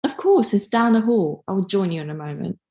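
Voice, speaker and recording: A woman playing a friendly, admiring younger sister of a gentleman in a period drama reading, eager and gracious. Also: medium pitch, animated, brisk, lightly acted. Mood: eager warmth.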